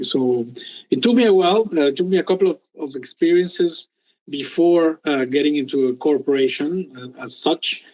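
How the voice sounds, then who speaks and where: A middle-aged man from Peru, a guest telling his career story in an interview, with many pauses and restarts. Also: on the high side, darkish tone, rough recording. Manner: hesitant, many ums and restarts. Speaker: guest entrepreneur. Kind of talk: casual interview talk.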